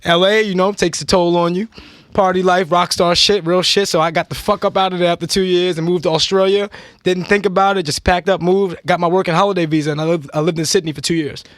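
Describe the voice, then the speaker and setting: A brash young man talks in rapid, swaggering bursts, tossing out profanity between boasts. His voice sits a little high, close and dry with no room sound. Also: steady tone, no echo. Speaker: guest musician and author. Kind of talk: casual radio interview.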